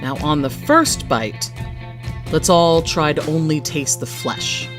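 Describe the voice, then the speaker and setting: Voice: mid-range voice, slow pace, music in the background, natural voice. A narrator voicing Thomas, an enthusiastic fruit connoisseur. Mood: explaining. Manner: instructive, absorbed, adoring. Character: Thomas, enthusiastic male host explaining an exotic fruit.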